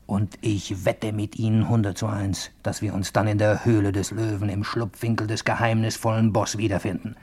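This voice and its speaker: An actor playing a cocky, chatty amateur sleuth who confides casually and boastfully in the listener in a radio crime play. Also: male voice, fairly low pitch, level tone, moderate pace, few pauses, a little mellow, slightly rough. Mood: conspiratorial.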